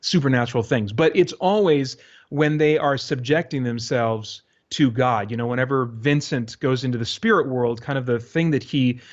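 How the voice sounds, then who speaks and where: A man's voice: mid-range voice, normal speed. A man being interviewed, answering casually and thinking aloud in a rambling way, often voicing his own thoughts as quotes. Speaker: interview guest, creator of a radio drama. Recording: dull, lo-fi interview audio.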